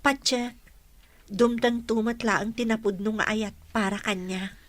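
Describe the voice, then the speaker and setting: A woman's voice: fairly high pitch, steady tone, a little slow, no echo, close to an everyday voice. An actress with a Filipino accent playing an earnest, devoted young single mother in a radio drama. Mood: hurt, resolute.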